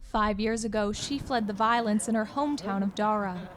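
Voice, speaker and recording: A woman reporter giving scripted news narration in a measured, clear delivery as a voice-over. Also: medium pitch, a little hiss or hum.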